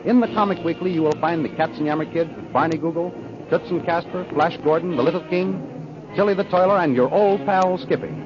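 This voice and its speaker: A man's voice: fairly high pitch, a little fast. A man with an American accent announcing a 1930s radio serial in scripted style. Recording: muffled old radio broadcast recording. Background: music.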